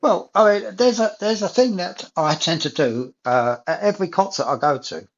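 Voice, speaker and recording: A man's voice: high-pitched, expressive, normal speed, lo-fi sound. A man in a casual chat, talking enthusiastically and repeating phrases for emphasis.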